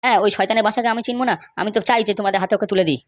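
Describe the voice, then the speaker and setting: Woman doing aggrieved cartoon village guy out for revenge, talks super fast, gossipy, dry close sound. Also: mid-range voice, steady tone, no room sound, lightly acted. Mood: indignant. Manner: emphatic, gossipy, rapid-fire complaining. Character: aggrieved, scheming male villager bent on revenge. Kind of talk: amateur comedy cartoon dialogue.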